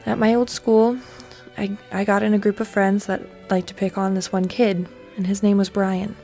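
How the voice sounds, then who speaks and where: A woman plays a remorseful teenage girl in a podcast drama. She speaks earnestly and haltingly at an ordinary female pitch, with soft music underneath. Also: little pitch movement, lightly acted. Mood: guilty, earnest. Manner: halting, confessional. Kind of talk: podcast audio drama acting.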